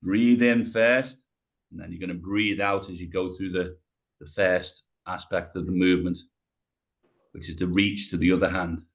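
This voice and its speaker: A man's voice: deep voice, steady tone, moderate pace. British male rehab coach, 50s, patient step-by-step cueing, webcam audio. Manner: encouraging, talks a client through each movement step by step, says mate. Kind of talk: coaching exercise over video call. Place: home room, on the floor. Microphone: video call webcam.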